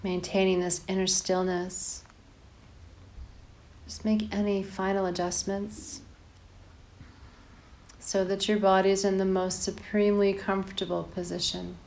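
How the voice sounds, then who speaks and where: A woman leading a guided meditation as a teacher, giving calm, measured instructions in short phrases with pauses between them. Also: mid-range voice, gravelly.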